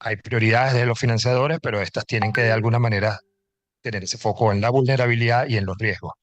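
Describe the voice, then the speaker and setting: A man's voice: medium pitch, steady tone, fast talker, dry recording, highs cut off. A man moderating a panel, articulate and posing long framing questions. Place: conference pavilion.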